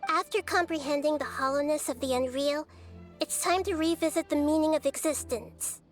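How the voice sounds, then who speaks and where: A woman voicing a small, gentle, wise child deity in a video game, explaining things in a measured, thoughtful way. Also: high-pitched, soft background music, close to an everyday voice. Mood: solemn. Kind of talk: video game dialogue acting.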